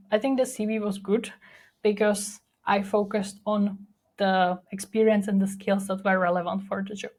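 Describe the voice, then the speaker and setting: A young woman with a Czech accent talks through her own story as a podcast host. Her pitch sits mid-range and stays level, with little rise or fall. She sounds candid and reflective, leaning on fillers as she goes. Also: darkish tone. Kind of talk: personal storytelling monologue.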